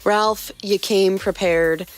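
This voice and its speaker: A woman's voice: medium pitch, steady tone, takes it slowly, faint music behind. A woman co-hosting a fan fiction podcast with teasing, upbeat banter. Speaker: podcast co-host.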